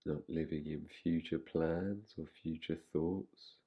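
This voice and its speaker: A man guiding a relaxation meditation in an unhurried manner, leaving long pauses between phrases. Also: extremely low pitch, steady tone, warm tone, husky, highs cut off. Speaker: meditation guide.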